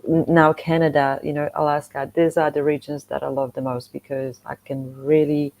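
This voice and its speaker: A woman with a Polish accent and a low-pitched, soft-edged voice. She talks in a chatty, animated way, like a guest casually telling travel stories. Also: normal speed, poor audio. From Poland. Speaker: podcast guest, motorcycle traveller and content creator. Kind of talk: casual conversational storytelling.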